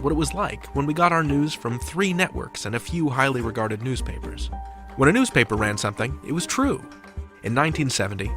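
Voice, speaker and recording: A man hosting a drama podcast, reading a smooth, scripted narration and outro. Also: medium pitch, fairly bright tone. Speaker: podcast host. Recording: music under the voice.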